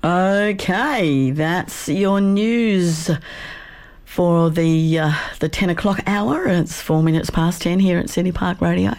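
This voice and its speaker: A woman with an Australian accent presenting on community radio, relaxed and chatty, her voice croaky today. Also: fairly low pitch, takes it slowly. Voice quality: gravelly. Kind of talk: casual live radio links.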